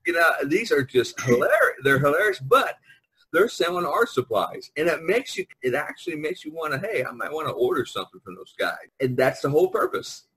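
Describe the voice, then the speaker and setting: Bright, slightly husky American man hosting. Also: a little high, big pitch swings, brisk, rough recording. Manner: talkative, jokey, prodding the others to talk. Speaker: podcast host, visual artist. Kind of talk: casual video-call podcast chat. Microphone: online video conference call.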